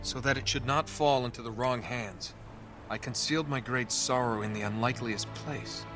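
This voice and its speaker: An actor playing a quick-witted, wary treasure hunter in his thirties with an American accent and a sarcastic, casual delivery in a video game. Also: mid-range voice, natural voice. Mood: absorbed, explaining. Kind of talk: cinematic video game acting. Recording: music under the dialogue. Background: background music.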